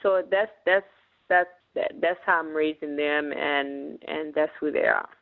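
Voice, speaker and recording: A woman's voice: low voice, unhurried, creaky. A woman from Haiti, a business owner, talking as a guest in a radio interview, earnest and often restarting her words. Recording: tinny phone-like radio broadcast.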